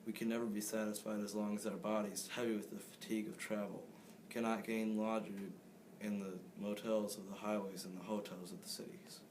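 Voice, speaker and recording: Teen male student reader, lowish and monotone, noisy recording. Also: brisk. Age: teenager. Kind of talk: reading a famous speech aloud. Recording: clear background noise.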